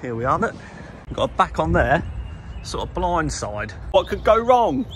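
A man in his thirties with an English accent, chatting casually and excitably as a trucker vlogging from his cab. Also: fairly high pitch, normal speed. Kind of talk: casual vlog chat to camera. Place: lorry cab and loading yard. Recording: clear vehicle and outdoor noise.

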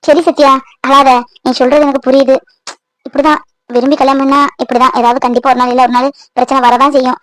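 A woman's voice: sits high, little pitch movement, fast talker, fairly bright tone, low-quality recording. A woman voicing a firm, motherly older-sister figure who lectures and reassures a younger woman. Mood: reassuring. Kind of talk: Tamil domestic audio drama.

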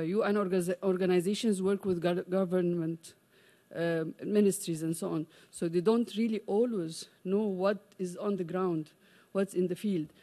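Soft-toned woman from Egypt, halting. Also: mid-range voice. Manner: hesitant, many fillers and restarts. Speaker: audience member, parent advocate. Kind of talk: conference floor comment. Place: large conference room. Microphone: desk microphone.

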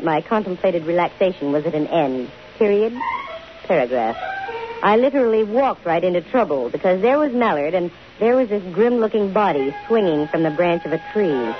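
An American actress playing a wry, teasing, quick-witted private investigator in a 1950s radio drama. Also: female voice, fairly low pitch, lively intonation, over music, close to an everyday voice. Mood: wry, confiding. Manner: dry, teasing, arch. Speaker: lead actress and narrator. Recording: dull old 1950 radio recording.

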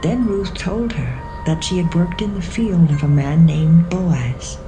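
Slow-paced woman narrator over music. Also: fairly low pitch, low-quality recording. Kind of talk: scripted storybook narration of the Bible. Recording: music behind the voice.

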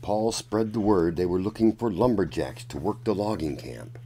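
A man reading a children's picture book aloud as a storyteller, in a deliberate, dramatic manner. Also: deep voice, warm tone, slight background noise. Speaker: children's storyteller and channel host.

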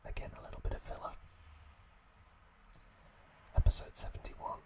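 A man whispering softly as an ASMR host, recapping episodes in a halting way with many pauses. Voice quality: soft unvoiced whisper.

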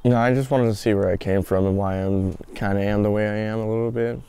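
A man's voice: fairly low pitch, fairly even intonation, fast, dark tone, clean tone. A man in his early twenties casually telling his own story in an outdoor interview. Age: early 20s. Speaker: documentary interviewee. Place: outdoors in a garden.

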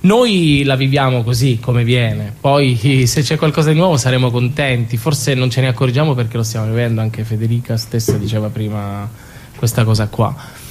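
A man speaks as a theatre company guest in a conversational radio interview. His voice sits at a medium pitch, with faint noise behind it. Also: normal speed, a little mellow.

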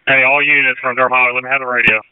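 A man's voice: mid-range voice, level tone, rapid-fire. A male fire rescue responder speaks in a middle register, giving direct requests. His businesslike voice comes over a narrow, slightly noisy radio link. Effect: radio transmission. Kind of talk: operational radio traffic. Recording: noisy, thin narrow-band two-way radio.

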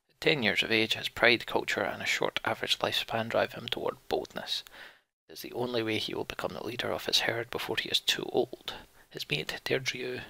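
A man narrates his way through a video game as a let's play host. His voice is very creaky and gravelly, with a husky grain and a bright edge. He moves between reading text aloud and muttering his own thoughts. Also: medium pitch.

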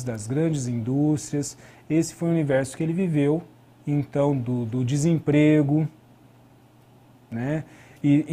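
Brazilian male lecturer, middle-aged. Mellow, slightly high voice, explanatory academic delivery with rhetorical questions. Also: slightly rough. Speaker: history lecturer and researcher. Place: indoor studio at a desk.

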